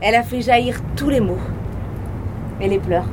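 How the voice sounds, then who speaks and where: An actress playing a blunt, self-doubting woman in her thirties, speaking in colloquial, halting phrases with bitter asides, recorded outdoors at a street show. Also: fairly high pitch, background noise, lightly acted. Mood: wry. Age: 30s. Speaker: stage actress. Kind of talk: street theatre monologue. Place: outdoors at a street arts festival.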